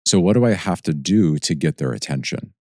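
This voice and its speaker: A man with an extremely deep voice co-hosts a podcast. He reflects in a measured way, and the recording is dry and close. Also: lively intonation, a little mellow, no echo. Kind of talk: podcast conversation.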